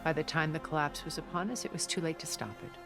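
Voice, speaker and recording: Video game role: scheming, regretful elite woman, middle-aged, low register, articulate and theatrical, over music. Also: fairly even intonation, fast. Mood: grave regret. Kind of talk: dramatic video game cutscene acting.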